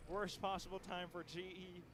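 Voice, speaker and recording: A man's voice: high-pitched, little pitch movement, moderate pace. A man commentating live on an esports match, analysing the play as it unfolds. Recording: broadcast over loud game noise.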